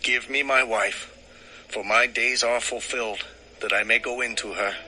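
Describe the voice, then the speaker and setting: A man's voice: medium pitch, steady tone, moderate pace, background noise, close to an everyday voice. A man playing an earnest young shepherd and suitor in a dramatized scripture production.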